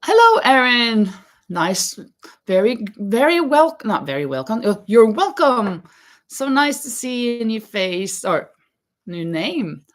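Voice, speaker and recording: A woman's voice: fairly high pitch, sing-song, takes it slowly. A woman from Norway hosting a crochet livestream from her home craft room, reading out viewer comments and counting stitches aloud with easy laughs. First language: Norwegian. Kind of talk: casual live chat while crocheting.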